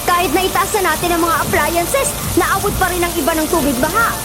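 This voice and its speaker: Radio drama: fretful, practical wife. Very high pitch, anxious exclamations, loud music underneath. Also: female voice, fairly even intonation, a little fast. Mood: distressed. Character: worried practical wife and shopkeeper in a storm. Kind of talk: Filipino radio drama acting. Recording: loud music and rain effects behind.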